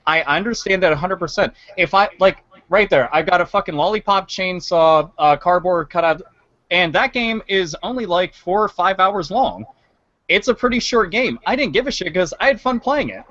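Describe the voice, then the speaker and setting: Male panelist, fairly high voice, blunt and sweary casual talk, very dry close sound. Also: expressive, normal speed, fairly bright tone, no room sound. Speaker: podcast panelist. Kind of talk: casual video game podcast discussion. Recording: dry, dull lo-fi mic.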